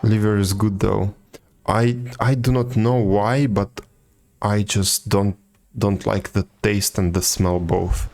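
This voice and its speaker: A man livestreaming a video game and chatting casually with viewers, halting now and then and repeating words. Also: fairly low pitch, steady tone, darkish tone. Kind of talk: casual chat with viewers while gaming. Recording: game music behind the voice. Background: video game music.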